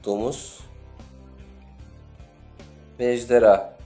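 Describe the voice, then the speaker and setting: A man's voice: medium pitch, flat delivery, drawn-out words, dark tone, soft background music. A man in his thirties chatting casually and informally as a contestant and co-host in a game, exclaiming as he goes. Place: small indoor studio, seated at a table.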